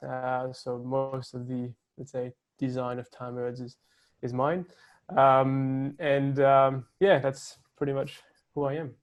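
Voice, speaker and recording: A man's voice: mid-range voice, little pitch movement, slow pace. A man with a French accent, a co-founder guest speaking hesitantly with many fillers. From France. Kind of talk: casual interview talk.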